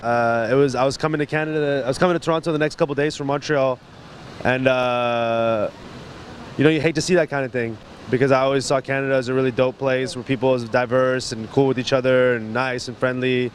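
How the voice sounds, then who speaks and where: A laid-back man from San Diego talks easily in slang, his voice mid-pitched. Faint street noise hums behind him outdoors. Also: steady tone, brisk. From San Diego, California. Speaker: visitor interviewed on the street. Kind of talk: casual slangy chat.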